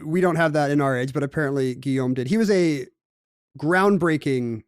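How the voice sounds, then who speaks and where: A man co-hosting a podcast in casual chat, explaining facts in a quick, wry way. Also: fairly high pitch, smooth, dry recording, studio quality. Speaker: podcast co-host.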